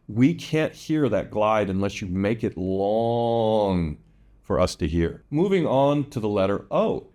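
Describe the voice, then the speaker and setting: A man teaching English vowel sounds, modeling each word slowly and repeating it with drawn-out, exaggerated vowels. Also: on the low side, darkish tone.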